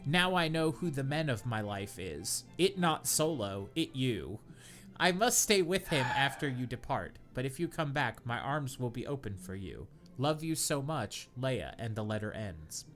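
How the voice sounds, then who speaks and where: Man, podcast co-host, comedic reading, deadpan, bright tone, faint music behind. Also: medium pitch, measured pace. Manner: reads deadpan, breaks into laughter.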